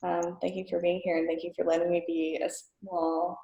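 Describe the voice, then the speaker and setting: A woman introduces panelists on a video call, friendly and a little hesitant, with filler sounds between phrases. Her voice is dark and gravelly. The room around her rings with echo. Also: lowish voice, flat delivery, normal speed, lo-fi sound. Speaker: moderator. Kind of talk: casual panel moderating.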